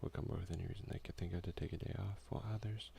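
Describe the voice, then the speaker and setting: Gravelly, hushed young man, low voice. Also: fairly even intonation, very fast. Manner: soft-spoken, often slipping into whispers. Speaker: gaming video creator. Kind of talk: hushed ASMR let's play, commenting and reading game text. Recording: faint noise behind a home recording.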